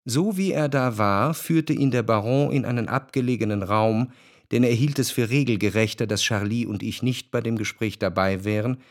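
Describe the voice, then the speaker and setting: A man narrating a literary radio play as a cultured, wryly ironic observer, measured and articulate. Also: mid-range voice, no echo, clean studio sound, close to an everyday voice. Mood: detached, wry.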